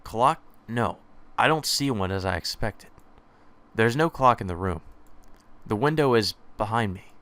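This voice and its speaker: A man hosting a let's play, reading a visual novel's first-person text aloud in a measured, slightly hesitant way. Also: lowish voice, expressive, fairly bright tone.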